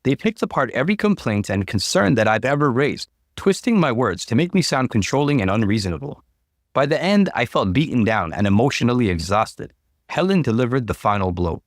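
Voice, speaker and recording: Expressive male narrator, dry close sound. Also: mid-range voice, brisk, no echo. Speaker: narrator reading online stories. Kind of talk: scripted dramatic story narration.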